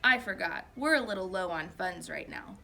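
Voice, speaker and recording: Woman voicing easygoing dad, folksy and bright. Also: mid-range voice, animated, moderate pace, creaky. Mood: fond, easygoing. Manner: chuckling, folksy reminiscing. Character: easygoing dad, fondly reminiscing storyteller.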